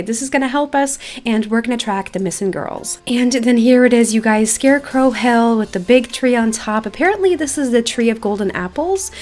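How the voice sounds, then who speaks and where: A woman giving casual, chatty, self-deprecating gameplay commentary as a video host, sometimes reading quest text aloud. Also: fairly high pitch.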